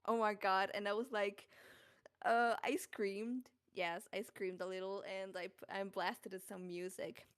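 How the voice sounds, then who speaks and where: A woman's voice: mid-range voice, little pitch movement, unhurried, smooth, dry recording, studio quality. Giggly woman with a mid-range voice. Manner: giggly, rambling. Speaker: voice actor. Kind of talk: casual chat.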